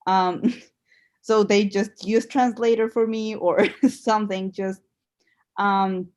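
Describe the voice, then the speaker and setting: A young woman whose first language is Spanish, speaking English with a Spanish accent in a halting way as a panelist over a video call. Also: mid-range voice, steady tone, darkish tone. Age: young adult. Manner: halting, searching for words. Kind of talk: panel discussion.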